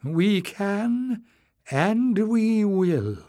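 A man's voice: mid-range voice, lively intonation, drawn-out words, mellow, no room sound, clean studio sound. A man voicing a proud, brave old grandmother in a storybook, with a quavering voice. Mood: quietly defiant.

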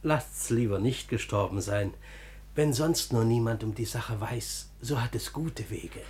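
Actor as a humble, gentle pious brother. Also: male voice, medium pitch, a little slow, close to an everyday voice. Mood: reassuring. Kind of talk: German classical radio drama acting.

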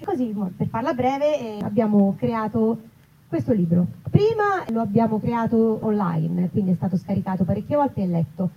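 A woman's voice: fairly high pitch, lively intonation, normal speed. Chatty woman in a dull, noisy recording. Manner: chatty, self-deprecating, digressive. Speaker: actress and author, interview guest. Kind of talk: casual live interview about own book. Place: live festival event in an olive grove. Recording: dull, noisy live event recording with clicks.

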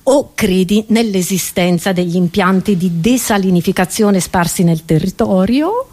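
A woman's voice: mid-range voice, moderate pace, darkish tone. A woman comedian, delivering a satirical monologue in a theatrical, exclamatory way, speaking straight to her listeners.

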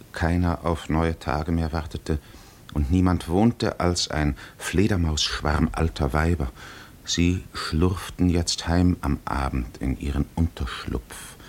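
Solemn epic narrator, really slow n low, poetic, old fifties radio play. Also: male voice. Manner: deliberate, solemn, poetic delivery. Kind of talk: 1950s radio drama literary narration.

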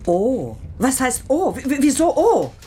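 A woman's voice: sits high, very animated, measured pace, mellow, slight background noise. An actress playing an anxious woman in a radio drama, speaking in an urgent, hesitant, pressing way. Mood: alarmed. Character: anxious, insistent woman searching for her lost aunt. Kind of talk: radio play dialogue.

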